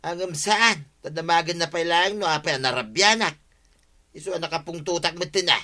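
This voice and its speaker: An actor with an Ilocano accent playing a defensive, sheepish village husband in a radio comedy drama. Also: male voice, on the high side, moderate pace, bright, forceful tone.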